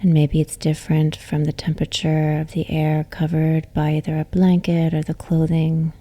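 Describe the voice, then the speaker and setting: A woman yoga nidra teacher guiding a relaxation meditation in a soothing, gentle, unhurried way, with soft, breathy phrase endings. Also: sits low, monotone.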